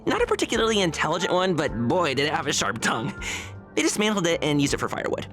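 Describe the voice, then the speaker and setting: A woman's voice: on the low side, very animated, quick pace, over music. A woman playing a playful, chatty, teasing young woman in a video game. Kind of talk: video game character acting.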